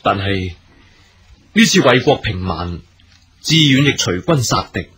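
An earnest imperial commander in a period radio drama. His voice is rather low and heavily husky. He speaks Hong Kong Cantonese with formal, gracious courtesy and loyal gravity. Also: animated, a little slow, a bit bright, exaggerated voice. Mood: solemn, devoted.

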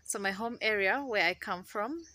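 Excitable Ugandan woman gardening vlogger. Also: mid-range voice, normal speed, no room sound. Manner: excitable, chatty, laughs often. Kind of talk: casual chat to camera. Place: outdoor garden. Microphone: phone camera held close.

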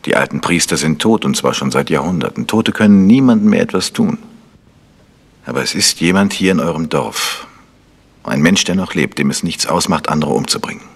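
An actor playing a calm, polite gentleman investigator in a German radio crime drama, asking insistent questions. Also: extremely low pitch, a little fast, gravelly, breathy edge, heavily acted. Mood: earnest, insistent.

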